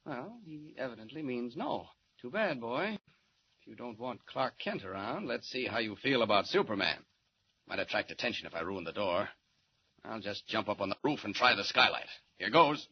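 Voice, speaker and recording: An actor with an American accent playing a mild-mannered reporter who is secretly a confident hero, in a 1940s radio drama. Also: male voice, mid-range voice, animated, normal speed, lightly acted. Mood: breezy, probing. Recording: muffled, noisy 1940s radio recording.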